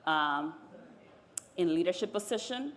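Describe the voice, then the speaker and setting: Dominican-born woman, low-pitched, measured panelist. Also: animated. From Dominican Republic. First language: Spanish. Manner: measured, pausing, searching for words. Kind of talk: personal story on a panel.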